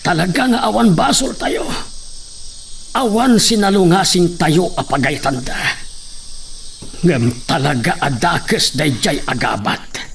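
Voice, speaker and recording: A man's voice: fairly high pitch, measured pace, gravelly, long pauses. A man with an Ilocano accent acting as a sorrowful, earnest villager in a radio drama. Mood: earnest, aggrieved. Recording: faint noise, cricket and insect ambience.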